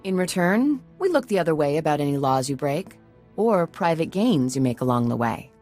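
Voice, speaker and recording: An actress playing a shrewd, commanding senator with a formal, authoritative manner in a video game. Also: female voice, deep voice, very animated, moderate pace, a little mellow, faint music behind. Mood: shrewd, firm.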